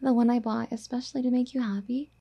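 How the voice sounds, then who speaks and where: Woman acting a breathy, dark-toned doting yandere girlfriend. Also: a little high, steady tone, fast talker. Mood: affectionate, coaxing. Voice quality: soft, breathy, whispery. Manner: coaxing, sweetly affectionate. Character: doting, possessive, obsessive yandere girlfriend, wealthy and clingy. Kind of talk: soft intimate ASMR roleplay.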